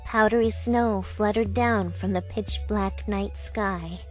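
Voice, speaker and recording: Measured woman playing a composed young narrator. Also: medium pitch, lightly acted. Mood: grave, hushed. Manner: calm, deliberate, measured reading. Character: composed young woman recounting events thoughtfully. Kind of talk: scripted visual novel narration. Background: soft background music.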